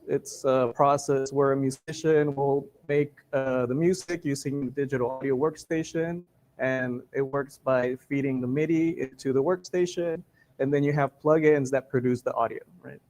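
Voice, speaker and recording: A man from Guatemala, a software engineer, giving a technical conference talk in a hesitant way full of "uh" fillers. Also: a little high. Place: conference stage.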